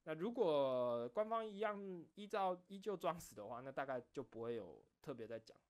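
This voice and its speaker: A man's voice: fairly high pitch, very animated, normal speed. A man with a Taiwanese Mandarin accent chats casually to his viewers as an online host, rambling a little, correcting himself and repeating phrases. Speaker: online video creator and host. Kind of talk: casual late-night chat to viewers.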